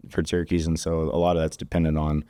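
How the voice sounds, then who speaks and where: A man from Kansas talks easily as a podcast guest, circling his points with plenty of ums and you-knows. His voice sits very low and mellow. The sound is dry and close, with little room around it. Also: flat delivery, fast talker, no room sound. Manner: easygoing, explains with many fillers. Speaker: guest, conservation group regional director. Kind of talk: casual podcast chat. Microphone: studio podcast mic.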